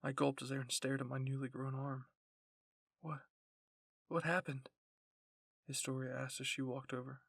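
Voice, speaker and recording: Gravelly, husky man reading an ASMR story. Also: mid-range voice, monotone, fast, few pauses, no echo. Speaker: ASMR roleplay reader. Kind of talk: ASMR scripted first-person story narration.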